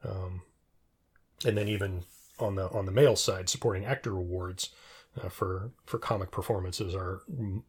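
A middle-aged man in a casual trivia discussion, rambling and thinking out loud as he talks. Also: low voice, steady tone, moderate pace, husky. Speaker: podcast co-host.

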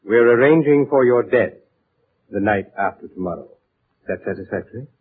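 An actor playing a shady, scheming fixer in a 1940s radio drama, speaking with precise, formal politeness. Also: male voice, fairly low pitch, animated, brisk, slightly rough. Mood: obsequious. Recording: muffled lo-fi 1940s radio recording.

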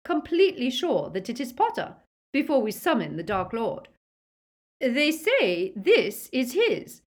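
A woman voicing a cold, cautious, sharp aristocratic mother in an audiobook, with a clear voice. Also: medium pitch, sing-song, slow pace, pitched above the performer's own voice, full character voice. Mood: cold, wary. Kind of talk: audiobook character acting.

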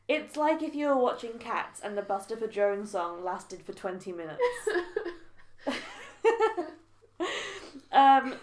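High-pitched woman co-host, playful joking chat, roomy echo. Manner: playful, giggly, sings along. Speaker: podcast co-host. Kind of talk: casual comedic chat.

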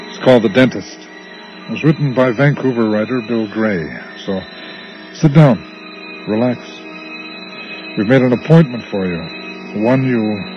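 A man giving a scripted introduction to a 1980s radio horror series in an ominous, deliberate manner with dramatic pauses. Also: on the low side. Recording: dull old radio broadcast. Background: music.

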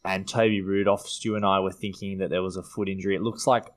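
Low-voiced Australian man giving sports analysis. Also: flat delivery, fast. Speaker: sports podcast co-host giving injury updates. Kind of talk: fantasy rugby league analysis.

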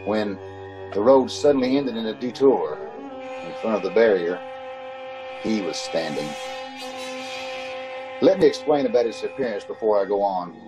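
A man's voice: lowish voice, lively intonation, over music, natural voice, poor audio. A man playing a haunted, uneasy motorist who tells his story in an old-time radio drama. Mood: uneasy, reflective. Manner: measured narrating, nervous stammering in dialogue. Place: home, recorded over a video call.

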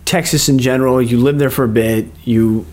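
A man's voice: medium pitch, fairly even intonation, brisk, darkish tone. Chatty male podcast host. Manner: loose and chatty, laughs often, many fillers. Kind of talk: casual interview chat. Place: home garage podcast studio.

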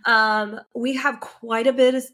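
A woman's voice: on the high side, fairly even intonation, a little slow, pushed and bright. A woman co-hosting a podcast who sounds congested and nasal, upbeat as she runs through the agenda. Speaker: podcast co-host. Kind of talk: casual chat.

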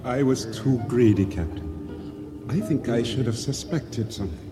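A man acting a regretful island boatman in a radio drama, narrating and speaking in a solemn, measured way. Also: medium pitch, over music, lightly acted. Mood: subdued, pleading. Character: regretful male island boatman recounting his downfall. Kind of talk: first-person narration and dialogue in radio drama.

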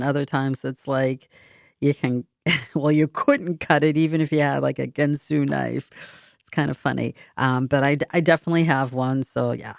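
A woman's voice: a bass voice, vocal fry, a little mellow, no room sound, clean studio sound. A woman with a Boston accent hosting a community radio talk show, speaking conversationally with fillers and restarts. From Boston area. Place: radio studio.

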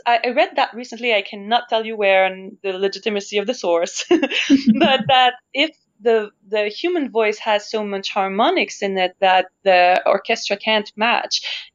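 Woman from Canada, opera singer guest, thoughtful and searching, muffled lo-fi sound. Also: medium pitch. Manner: thoughtful, searching for words, laughs easily. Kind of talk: reflective conversational interview. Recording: dull lo-fi sound.

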